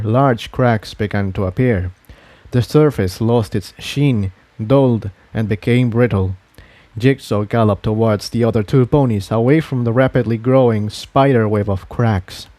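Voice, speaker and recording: Mellow male story narrator, dry sound. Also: mid-range voice, dry recording.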